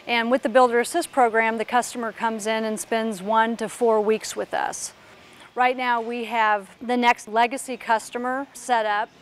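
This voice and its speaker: A woman guiding a walking tour of an aircraft workshop, explaining parts as she points them out. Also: a little high. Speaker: factory tour guide. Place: large aircraft workshop indoors.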